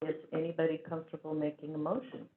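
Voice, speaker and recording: A woman's voice: deep voice, monotone, fast talker. A woman chairing a school board meeting, running through procedure with frequent fillers. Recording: tinny phone-like audio.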